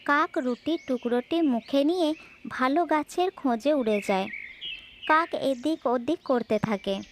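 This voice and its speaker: High-pitched woman narrating a children's fable. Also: measured pace, slight background noise. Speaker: storyteller.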